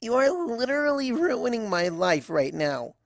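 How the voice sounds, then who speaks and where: A woman's voice: a little high, very animated, unhurried, gravelly, dry recording, studio quality, voice pushed down, exaggerated voice. A woman voicing an embarrassed, sulky teenage pony daughter in a fan fiction reading, whiny and exasperated, drawing out her words. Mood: whiny, indignant.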